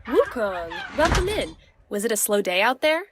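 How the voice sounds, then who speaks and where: Affectionate older barmaid-proprietor acted by a woman, chatty and teasing, fairly high pitch, fantasy audio drama. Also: sing-song, moderate pace, very noisy. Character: motherly, teasing aged female tavern keeper.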